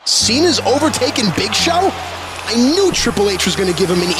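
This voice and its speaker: A man's voice: sits high, sing-song, fairly quick. A man voicing an enthusiastic, casual wrestling color commentator in a video game. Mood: excited. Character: excitable male color commentator. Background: game music.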